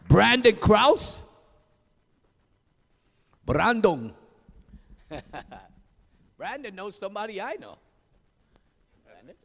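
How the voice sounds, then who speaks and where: Lively Spanish-accented man in a hall. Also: high voice, drawn-out words, vocal fry, long pauses. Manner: lively, joking, loud when calling names. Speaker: auctioneering instructor. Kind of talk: graduation speech, calling names. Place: large hall. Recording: live hall, long reverb.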